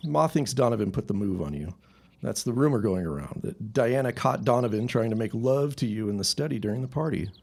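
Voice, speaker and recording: Casting: needling young man, mid-pitched, husky and creaky, blunt sibling banter, radio drama. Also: lively intonation, a little fast, a little mellow. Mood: teasing, prying. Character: teasing, nosy young brother working in family store. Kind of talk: period radio-style audio drama acting.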